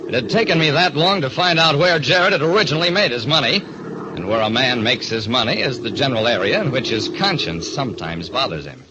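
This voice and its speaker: A man's voice: mid-range voice, expressive, a little fast, background noise. A man with an American accent giving dramatic, hard-boiled narration as a detective in old-time radio style. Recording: dull, band-limited recording.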